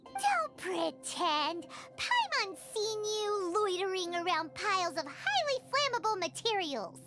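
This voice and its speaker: A woman playing a tiny, bossy, childlike fairy companion in a video game, squeaky and excitable. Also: slow, heavily acted. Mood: puzzled, accusing. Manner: squeaky, childlike, refers to herself in third person. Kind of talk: English video game voice acting. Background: game music.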